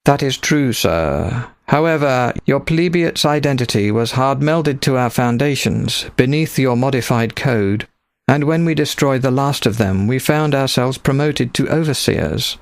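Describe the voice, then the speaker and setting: A man's voice: medium pitch, expressive, measured pace, dry recording. A man voicing a sinister, servile robot overseer in a hissing, formal manner for a story reading. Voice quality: hissing, hushed. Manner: formal, obedient.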